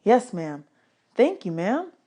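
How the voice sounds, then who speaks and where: A woman's voice: mid-range voice, sing-song, slow, mellow, breathy edge, full character voice. A woman voicing a polite, nervous, imaginative young orphan boy with a folksy, earnest manner in a children's audiobook. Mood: polite, uneasy.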